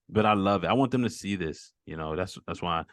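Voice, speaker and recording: Deep-voiced upbeat male host. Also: no echo, clean studio sound. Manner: upbeat, encouraging, slangy. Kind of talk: casual interview over a video call.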